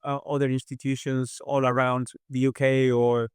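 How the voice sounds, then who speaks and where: A Spanish-accented man speaks in a smooth, resonant voice that stays fairly flat and even. He answers slowly and thoughtfully, pausing often. The sound is close and dry, with no room echo. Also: medium pitch. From southern Spain. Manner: measured, thoughtful, with many hesitations. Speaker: aerodynamics engineer, guest. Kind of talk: interview answers.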